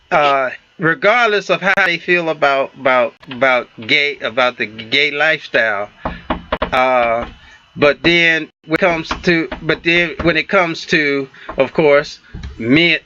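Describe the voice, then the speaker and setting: Southern male host, slightly high voice, chatty and halting. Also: bright, forceful tone, muffled recording, slight background noise. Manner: halting, many fillers and restarts, laughs easily. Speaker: talk show host. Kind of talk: casual radio talk show chat.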